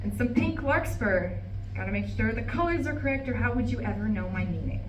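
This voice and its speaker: A woman's voice: fairly high pitch, lively intonation, a little fast, close to an everyday voice. A woman in a live play reading, playing a bitter, refined older divorced wife in a resentful, dramatic monologue. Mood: hurt, spiteful. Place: room at a live campus reading. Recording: echoey room, distant mic, faint noise.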